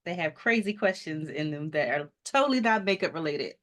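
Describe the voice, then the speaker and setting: Female podcast host, lower-pitched voice, eager and chatty, laughs easily. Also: animated, a little fast. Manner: upbeat, enthusiastic, laughs often. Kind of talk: casual interview chat.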